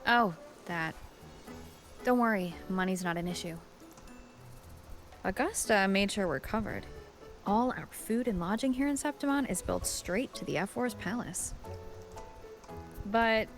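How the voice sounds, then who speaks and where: A woman voicing a composed, thoughtful young heroine in a fantasy video game, with measured, earnest delivery. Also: mid-range voice, faint music behind, close to an everyday voice. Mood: reassuring.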